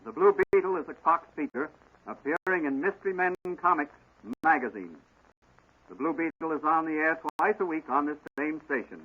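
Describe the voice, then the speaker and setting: A man's voice: fairly high pitch, expressive, normal speed, phone-quality sound, background noise. A man with an American accent narrating a 1940s radio serial in a dramatic, declamatory way. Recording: band-limited old radio recording.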